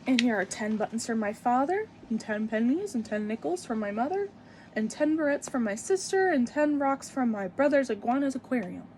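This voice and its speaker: A woman's voice: a little high, animated, moderate pace, a little mellow, slight background noise, lightly acted. A woman voicing a worried little schoolgirl from a picture book, with emphatic delivery. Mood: presenting.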